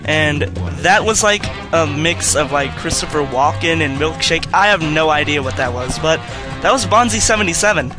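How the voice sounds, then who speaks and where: Music plays clearly behind a man with a fairly high voice. He introduces his segment informally, rambling a little as he explains it like a casual contributor to a podcast. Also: animated, moderate pace. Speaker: song parody maker, podcast contributor.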